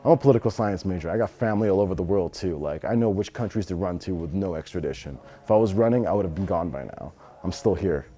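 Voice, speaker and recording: A man's voice: sits low, fast talker, dark tone. A man in his twenties with an American accent, answering interview questions casually in blunt, slangy speech with some swearing. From Los Angeles, United States. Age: 20s. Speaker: interviewee, business owner.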